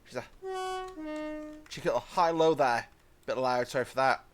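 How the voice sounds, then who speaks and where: Casual British gamer type, rambling commentary with apologies. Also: male voice, medium pitch, moderate pace, soft background music. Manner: rambling and chatty, apologetic asides. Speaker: gaming channel host. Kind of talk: casual train-sim let's-play commentary.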